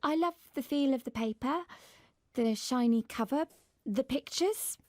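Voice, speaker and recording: A woman's voice: sits high, unhurried, no echo, studio quality. A woman voicing a sweet, dreamy, bookish young girl in a children's storybook, earnest and gushing.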